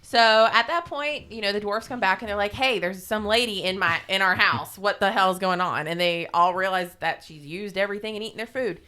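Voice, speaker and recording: Bright, clear-voiced woman, informal storyteller. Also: mid-range voice, brisk, few pauses. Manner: irreverent, salty-tongued, informal. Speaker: podcast co-host. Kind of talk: casual retelling of a fairy tale.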